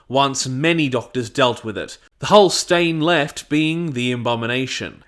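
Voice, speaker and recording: A man's voice: a little high, fairly bright tone. A man narrating a scripted review, stressing his phrases emphatically.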